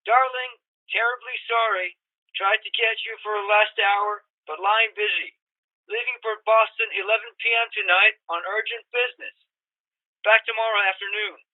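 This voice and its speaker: A tinny phone voice, strikingly high, of a courteous telegram clerk played by a man. He is formal and officious, reading out in the manner of 1940s radio drama. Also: fairly even intonation, a little slow. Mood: businesslike, courteous. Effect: telephone filter. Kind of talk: 1940s-style radio play acting. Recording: tinny, narrow telephone line.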